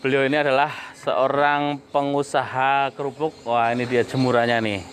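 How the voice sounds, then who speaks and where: A man hosting an online interview in casual chat, talking in a lively way and often rising in surprise. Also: mid-range voice, moderate pace, a little hiss or hum. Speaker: YouTube host interviewing a guest. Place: on site at a home cracker business.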